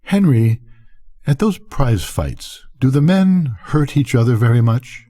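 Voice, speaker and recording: A man's voice: mid-range voice, animated, takes it slowly, dark tone, voice pushed up. An older man voicing a proud, wistful ranch wife in a bedtime story, tense and insistent with her questions. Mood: uneasy curiosity.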